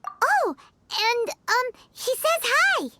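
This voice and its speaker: A woman voicing a tiny, excitable childlike fairy companion in a video game, squeaky, bubbly and whiny. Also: very slow, slight background noise, full character voice. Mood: sheepish. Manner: bubbly, whiny, refers to herself in third person.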